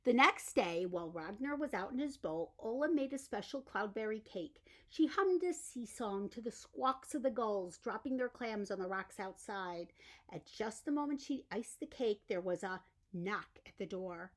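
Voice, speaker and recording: A woman reading a bedtime story aloud in an expressive storytelling style. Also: mid-range voice, normal speed. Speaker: storyteller reading a picture book.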